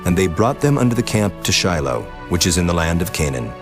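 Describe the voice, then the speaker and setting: Deep-voiced man narrating scripture. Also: big pitch swings. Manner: solemn, measured. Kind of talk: dramatized scripture reading. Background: background music.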